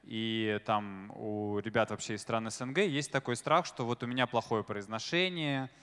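A man's voice: fairly low pitch, moderate pace, a bit bright, smooth. Man speaking on stage in a reverberant hall. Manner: conversational, filler-heavy, asks the audience questions. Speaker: conference speaker. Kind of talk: live stage talk to an audience. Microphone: handheld microphone.

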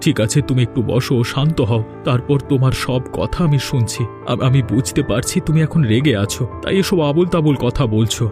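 A man plays a cartoon village husband with a medium-pitched voice. He is poor but patient, earnest and soothing. Music runs behind his words. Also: fairly quick, few pauses, natural voice. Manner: earnest, reassuring. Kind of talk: Bengali cartoon voice acting.

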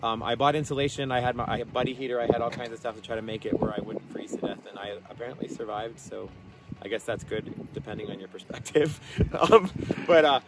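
A man's voice: mid-range voice, fast, very noisy. A man giving a casual, rambling recap as a trip participant, recorded outdoors.

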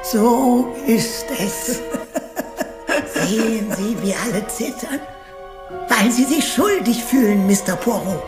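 A woman playing an imperious dead aunt speaking at a séance in a German crime radio drama, with slow, theatrical, accusing delivery. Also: fairly high pitch, expressive. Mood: menacing. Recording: echoing, with music underneath.

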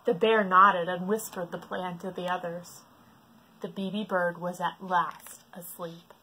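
A woman's voice: lowish voice, level tone, unhurried, sharp tone, breathy edge, low-quality recording. A woman in her thirties reading a children's picture book aloud expressively as a storytime reader. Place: book-lined room at home, indoors.

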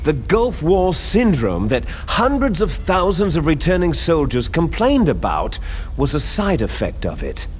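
An actor playing a bitter, fanatical villainous clone soldier in a video game, arrogant, menacing and condescending, with drawn-out theatrical phrasing. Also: male voice, on the high side, huge pitch swings, slight background noise. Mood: grave, revealing. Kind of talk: video game cutscene acting. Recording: music behind the voice.

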